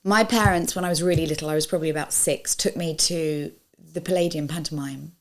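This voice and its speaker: Woman with a very low voice, chatty and frank about her career. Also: steady tone, quick pace. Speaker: musical theatre actress. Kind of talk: reminiscing interview.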